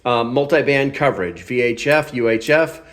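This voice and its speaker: A man's voice: mid-range voice, moderate pace, fairly bright tone. A man hosting a casual hands-on product review, talking in an informal, off-the-cuff and self-deprecating way. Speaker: host of a gear review channel.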